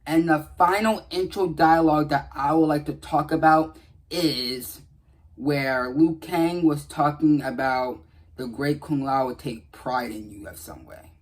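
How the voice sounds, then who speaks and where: A man's voice: fairly high pitch, measured pace. A man giving casual, earnest commentary on game dialogue in a rambling, repetitive way. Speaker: gaming video creator.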